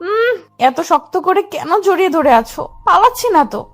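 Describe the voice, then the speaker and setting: A woman's voice: extremely high pitch, sing-song, normal speed, faint music behind, full character voice. A woman playing a bossy, possessive young wife, scolding yet affectionate, in a dramatized romantic audio story. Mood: teasing, affectionate. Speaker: female lead of an audio love story.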